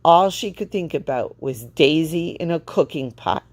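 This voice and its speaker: A woman reading a children's storybook aloud with expressive, deliberate phrasing. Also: sits low. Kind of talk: read-aloud storybook narration.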